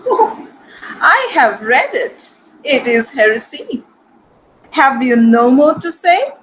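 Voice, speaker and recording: A woman acting a proud, sharp-witted noble lady in a Shakespearean dramatic reading, with an arch, haughty manner. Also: high voice, sing-song, slow pace, slight background noise, heavily acted, rough recording. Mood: arch, amused.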